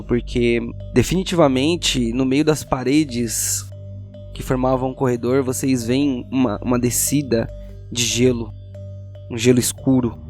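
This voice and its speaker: A Brazilian man narrating a tabletop game as game master, describing scenes in a measured way with deliberate pauses. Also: mid-range voice, darkish tone. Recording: music behind.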